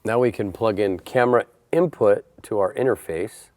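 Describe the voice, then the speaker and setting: A man's voice: on the low side, moderate pace, a little mellow. A man presenting a how-to installation tutorial, explaining each step in a plain, methodical way. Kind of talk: step-by-step instructional narration.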